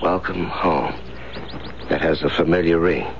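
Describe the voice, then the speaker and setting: An actor playing a sardonic, menacing madman husband in a 1970s radio drama, gravelly and slow, with mock politeness. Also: low-pitched, fairly even intonation. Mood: sardonic menace. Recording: noisy, dull 1970s radio broadcast.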